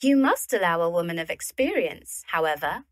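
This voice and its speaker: A woman's voice: on the low side, sing-song, normal speed, no room sound, studio quality. A woman playing a poised, witty young widowed lady poet in a period drama. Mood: grateful, earnest. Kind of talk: period radio drama acting.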